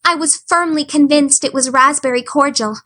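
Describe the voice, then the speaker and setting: A woman's voice: high-pitched, normal speed, sharp tone, voice pushed up. A woman voicing a dramatic, eager orphan girl of about eleven who speaks in flowery, pleading words.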